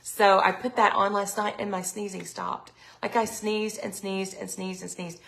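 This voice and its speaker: A middle-aged woman, chatting casually on a live sales stream, her throat a little raspy from allergies. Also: mid-range voice, little pitch movement, moderate pace, fairly bright tone. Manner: chatty, lots of ums, reads viewer comments aloud. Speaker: product consultant hosting a live sales stream.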